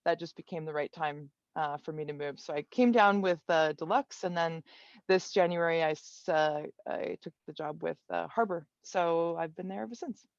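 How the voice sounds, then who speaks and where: A woman colorist on an online panel, telling her career story in a relaxed, thoughtful way with many fillers and restarts. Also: deep voice, moderate pace, no room sound, lo-fi sound. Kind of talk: casual panel talk.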